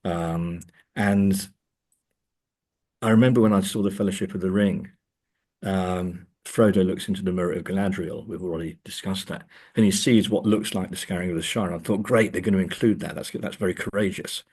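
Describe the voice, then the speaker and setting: Low-voiced, slightly husky man. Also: fairly even intonation, a little mellow. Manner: hesitant, thoughtful, halting delivery. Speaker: interview guest, academic and author. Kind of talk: conversational literary and film discussion.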